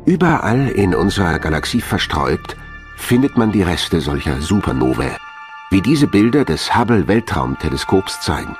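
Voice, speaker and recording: A man's voice: low voice, very animated, moderate pace, soft background music. A man reading scripted science documentary narration as a studio voice-over.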